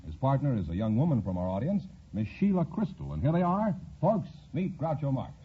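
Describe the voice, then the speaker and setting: A man's voice: medium pitch, very animated, a little fast, husky. A man announcing a 1950s radio show in a formal, projected, theatrical style. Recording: muffled vintage radio broadcast recording.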